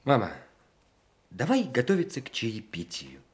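A man's voice: medium pitch, huge pitch swings, moderate pace. A man acting a sullen, guarded young son in a Soviet radio play. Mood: sullen, curt. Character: sullen, guarded grown son leaving the army.

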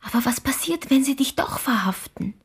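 Breathy, anxious young girl. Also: high-pitched, animated, normal speed, exaggerated voice. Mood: hushed, concerned. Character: worried young girl, anxious but forgiving daughter. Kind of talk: radio drama dialogue.

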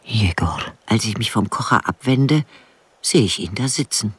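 An actress playing an elderly village widow in a radio drama, plainspoken and stubborn, with a dry, blunt manner. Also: female voice, very deep voice, animated, moderate pace, husky, no echo, exaggerated voice. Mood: matter-of-fact, dry. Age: older. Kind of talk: radio drama narration and dialogue.

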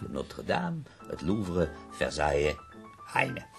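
An actor playing a dying, bedridden poet in a radio play, theatrical and wry, complaining with witty self-pity. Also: male voice, a bass voice, wildly swooping pitch, takes it slowly, gravelly, music in the background, full character voice.

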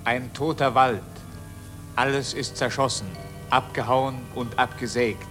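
A man playing a sober narrator, reading grim scene descriptions in a measured, scripted radio drama style. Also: mid-range voice, steady tone, over music, natural voice.

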